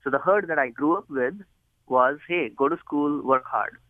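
A man's voice: a little high, normal speed, no room sound. Indian man on a tinny phone line. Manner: earnest, chatty, laughs at his own jokes. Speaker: guest. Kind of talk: phone interview.

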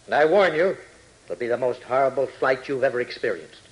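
Man playing haunted army major, mid-pitched voice, grave, intense, dramatic, old-time radio serial acting. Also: lively intonation, fairly quick, natural voice, poor audio. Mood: ominous. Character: strained middle-aged male army major, haunted, desperate. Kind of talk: 1940s radio adventure acting.

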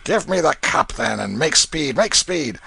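A man voicing a rough, harsh father who gives orders in old-fashioned speech. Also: medium pitch, fairly bright tone, pitched above the performer's own voice. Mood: gruff. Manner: rough, commanding, slow. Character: gruff, harsh Tudor father on the run.

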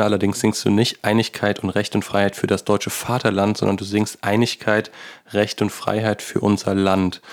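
Chatty interviewer man, low voice. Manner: probing, chatty, thinks aloud while asking. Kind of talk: conversational interview.

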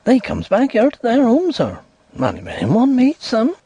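Old rural housekeeper, placid and superstitious, lilting high voice with a husky edge, put on by a male narrator. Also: huge pitch swings, a little slow, dark tone, no room sound, lo-fi sound, exaggerated voice. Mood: ominous. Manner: rustic dialect grammar, solemn warnings. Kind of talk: audiobook character voice.

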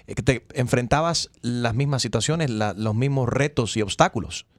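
A man's voice: medium pitch, moderate pace, no room sound, clean studio sound. A man hosting a talk show, chatty and asking leading questions with hesitant fillers. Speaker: host. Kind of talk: casual talk-show interview.

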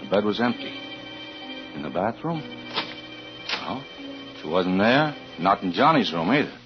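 Low-voiced, troubled 1940s investigator. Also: male voice, very animated. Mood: uneasy, confessional. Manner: halting, hesitant confessional narration. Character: troubled married insurance investigator recounting his story, anxious. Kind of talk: 1940s radio suspense acting. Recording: muffled vintage radio recording with music.

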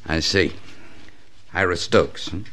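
An actor playing a plainspoken, principled small-town lawyer in a 1960s radio western. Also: male voice, deep voice, steady tone, slow pace, sharp tone, full character voice. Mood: calm, wry.

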